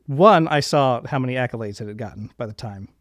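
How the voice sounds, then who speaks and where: A man's voice: medium pitch, fairly quick, dry recording, clean studio sound. A man hosting an interview podcast, speaking in an earnest, effusive way. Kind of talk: podcast interview introduction and chat.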